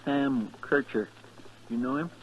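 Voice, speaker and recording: A man's voice: mid-range voice, unhurried, lo-fi sound, lightly acted. A man playing a deferential, hapless marshal's sidekick in a 1950s radio western, folksy and plaintive with a rural American drawl. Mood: uneasy. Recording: faintly noisy 1950s radio recording.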